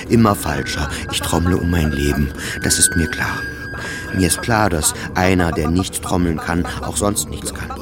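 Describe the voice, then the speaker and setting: Radio drama narrator: male actor as depressed male psychiatric patient, anxious and confessional, deep voice, loud music behind. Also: close to an everyday voice. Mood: anxious, self-loathing. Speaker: narrator of an autobiographical radio play. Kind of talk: scripted first-person radio drama narration.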